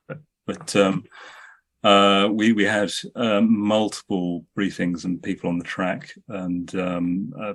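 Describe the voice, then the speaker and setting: Guy, kinda low voice, film director chatting on a podcast, keeps restarting his sentences. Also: creaky. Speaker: filmmaker interviewed as a guest. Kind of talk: anecdotal podcast interview.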